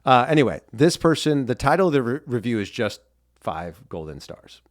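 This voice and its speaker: A man's voice: mid-range voice, very animated, normal speed, no echo. Man, young, podcast co-host, gushing, casual chat, dry studio sound. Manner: enthusiastic, gushing.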